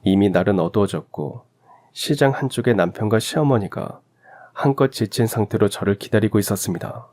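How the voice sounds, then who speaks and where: A man's voice: on the low side, moderate pace, a little mellow. A man reading a scripted first-person story as a radio narrator.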